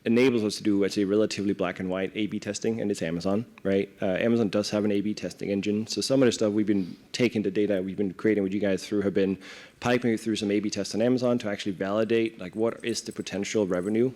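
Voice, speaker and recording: A man's voice: on the low side, steady tone, fast talker, a little mellow. A man from Denmark with a Danish accent, explaining things informally in a live interview and often checking in with "right?". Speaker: corporate director, interview guest. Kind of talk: conversational panel interview answers. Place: live event before an audience.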